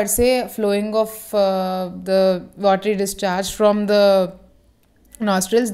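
Smooth-voiced woman explaining a medical text. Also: medium pitch, darkish tone. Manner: reads a passage, then explains it with many fillers and self-corrections. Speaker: homeopathy teacher. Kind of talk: reading and explaining a reference text.

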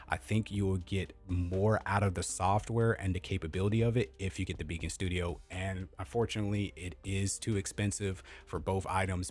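Deep-voiced man ranting about gear. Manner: blunt, repetitive, defensive, swears casually. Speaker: tech content creator. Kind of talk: opinionated product review rant. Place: home streaming room with LED lights. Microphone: studio mic on boom arm, close.

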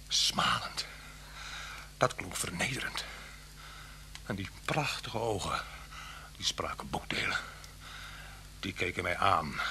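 An actor playing an unhappy, soft-spoken husband on the run in a Dutch radio play, speaking haltingly and often dropping to a near-whisper. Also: male voice, deep voice, heavily acted. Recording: hum and hiss of old radio recording.

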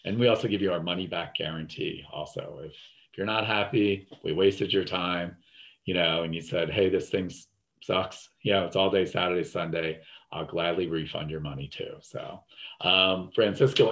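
A man's voice: low-pitched, moderate pace, a bit bright. A man with a New Jersey accent hosting a webinar over a video call, talking loosely with lots of fillers. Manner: loose, rambling, repeats fillers. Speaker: webinar host. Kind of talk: casual webinar talk.

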